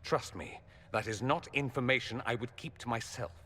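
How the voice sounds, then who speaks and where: A man's voice: mid-range voice, normal speed, sharp tone, husky. An actor playing a dry-witted male mage who speaks in an arch, sardonic way, in a video game cutscene. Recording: long hall reverb.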